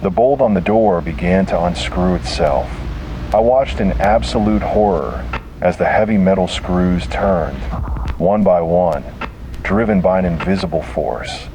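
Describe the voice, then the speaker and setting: A man delivering scripted first-person horror narration in a grave, dramatic manner with deliberate pauses. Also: low voice, noisy background.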